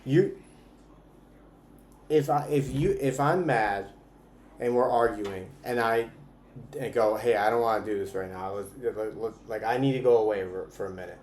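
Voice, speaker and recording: A man's voice: fairly low pitch, normal speed, pauses often, darkish tone. A man chatting casually on a livestream as host of a couples game, bantering and swearing in a teasing way. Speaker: streamer hosting a couples trivia game with partner. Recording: roomy echo with clear background noise.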